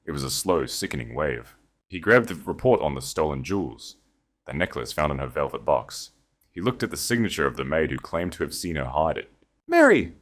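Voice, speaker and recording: Deep voiced guy reading a dramatic romance story, slow and measured. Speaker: narrator. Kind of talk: scripted dramatic audio story narration.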